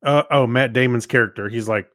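A man talks casually as a podcast co-host. His voice sits at an average pitch, and he conversationally quotes his own thoughts. The recording is very dry. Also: brisk, a bit bright, no room sound. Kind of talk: casual chat.

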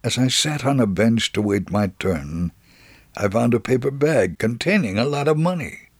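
Gravelly devout old man. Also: medium pitch, animated, normal speed, no room sound. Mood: reflective, devout. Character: devout older man recounting his life. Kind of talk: dramatic first-person reading.

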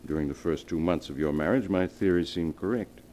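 Actor as very deep-voiced, grave family doctor. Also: male voice, mellow, a little hiss or hum, lightly acted. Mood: regretful, explaining. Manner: solemn, measured, authoritative. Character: grave, controlled family doctor breaking bad news. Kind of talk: 1940s radio melodrama acting. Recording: vintage 1940s radio broadcast.

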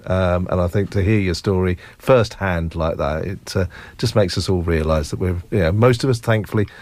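A man's voice: low-pitched, normal speed, darkish tone, no echo. A man hosting a conversational interview. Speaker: interview host.

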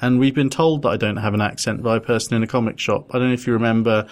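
A man's voice: medium pitch, steady tone, very fast. A young man from London co-hosting a podcast, chatting casually with self-deprecating, rambling humor. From London, England. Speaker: podcast co-host.

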